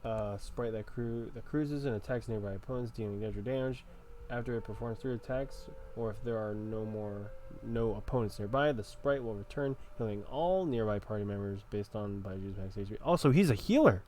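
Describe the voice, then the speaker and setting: A man's voice: lowish voice, normal speed, dark tone, a little hiss or hum. A man hosting a gaming video, giving informal playthrough commentary as he thinks aloud.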